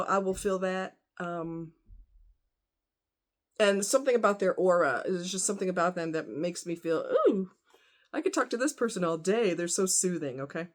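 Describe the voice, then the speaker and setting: A woman vlogger chatting casually to her audience in a rambling, conversational way with frequent asides. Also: lowish voice. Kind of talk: casual chat to camera.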